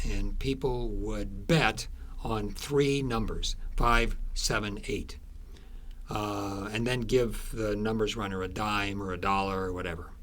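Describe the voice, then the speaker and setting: A man with a lowish voice speaks as an interviewed author. He explains and tells stories in a conversational way, feeling out his phrases with thoughtful pauses. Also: a bit bright.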